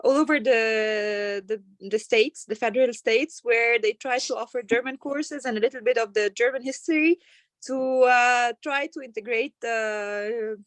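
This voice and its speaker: A woman's voice: fairly high pitch, a little slow, dry recording. A woman from Egypt, hosting an interview, asking long questions and explaining background. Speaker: host. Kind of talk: casual interview.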